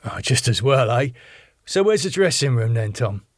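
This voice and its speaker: A man's voice: medium pitch, sing-song, normal speed, no room sound, clean studio sound. A man reading the part of a brash, streetwise tour manager in his thirties, chatty and matey. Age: 30s.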